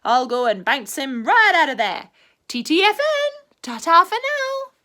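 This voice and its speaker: A loud, bouncy cartoon tiger who hoots and whoops through every line, cheerful and full of himself, as a Scottish woman puts him on. The voice sits high and swings wildly up and down. He is unhurried and exuberant. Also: big pitch swings, heavily acted.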